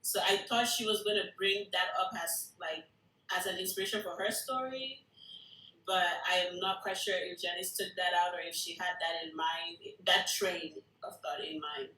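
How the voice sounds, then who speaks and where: A workshop participant offers casual feedback in a bright, forward voice. The delivery is tentative, working thoughts out aloud, and the room adds a clear echo. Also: medium pitch, fairly even intonation, normal speed. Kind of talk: casual discussion. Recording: roomy, echoing room sound.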